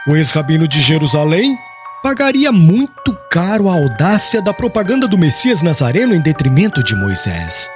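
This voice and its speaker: A man's voice: a little high, big pitch swings, normal speed. A man narrating an audiobook in a solemn, formal storytelling manner. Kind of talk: scripted literary narration. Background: music.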